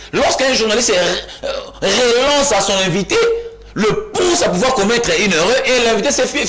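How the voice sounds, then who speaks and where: A man from Kinshasa, a panelist in a heated studio debate who cuts in, repeats himself and rises almost to shouting. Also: sits high. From Kinshasa, DR Congo. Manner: insistent, interrupts, repeats himself emphatically, rising to near-shouts.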